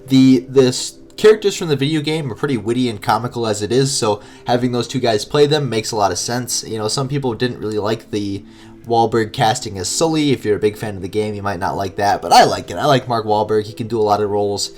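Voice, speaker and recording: A man's voice: fairly low pitch, fast talker, darkish tone. A man with a stuffed-up, nasal voice co-hosting a podcast in casual, chatty style. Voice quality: nasal, congested. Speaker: podcast co-host.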